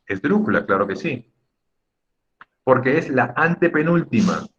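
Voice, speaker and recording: A man's voice: medium pitch, sing-song, measured pace, a bit bright, highs cut off. A man teaching a class in an emphatic, didactic way, repeating key words and checking in with short tag questions. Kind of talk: Spanish lesson on accent rules for students.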